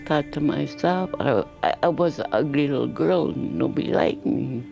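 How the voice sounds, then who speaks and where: An older woman from the United States giving halting, hesitant interview testimony. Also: deep voice, animated, vocal fry, dark tone, soft background music.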